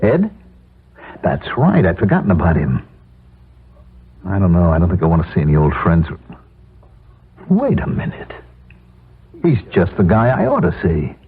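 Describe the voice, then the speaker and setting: A middle-aged office man, wry and resigned, with a hesitant edge of self-doubt. His low voice moves in lively swings, in the manner of seventies radio drama. The recording is thin and tinny. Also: moderate pace, long pauses, breathy edge, exaggerated voice. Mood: putting on calm. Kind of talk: 1970s radio drama acting. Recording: tinny, noisy old radio broadcast recording.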